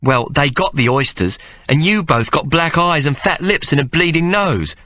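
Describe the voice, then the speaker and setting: A man's voice: on the high side, animated, normal speed, bright, forceful tone, voice pushed up. A man voicing a poor but loving mother who speaks firmly and emphatically. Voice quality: firm, emphatic.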